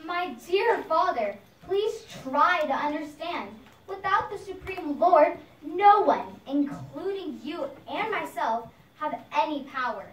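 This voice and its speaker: Woman playing a high-voiced devout boy prince. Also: expressive, takes it slowly, hardly any pauses, full character voice. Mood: pleading, preaching. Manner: earnest, preaching, recited delivery. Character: saintly young boy prince, devout, fearless, earnest. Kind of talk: puppet show dialogue. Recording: echoey noisy room, far from mic.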